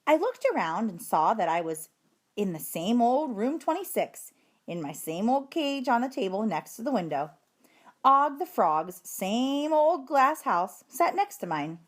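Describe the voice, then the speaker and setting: A woman reading a children's story aloud in an expressive, emphatic storytelling manner. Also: on the high side, unhurried. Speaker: storybook reader, teacher.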